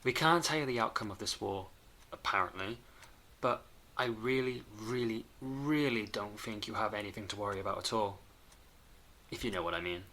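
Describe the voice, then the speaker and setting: A man plays a time-traveller's earnest companion in an audio drama. The voice is fairly low yet bright and forceful, informal, impatient and full of emphasis. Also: normal speed, slight background noise. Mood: exasperated, reassuring. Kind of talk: fan audio drama acting.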